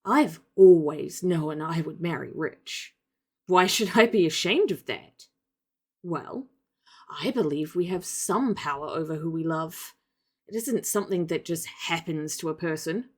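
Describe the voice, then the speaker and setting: A woman playing a pragmatic, proud young woman in a period drama, arguing earnestly and firmly. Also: low voice. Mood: firm, indignant. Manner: earnest, firm, measured argument.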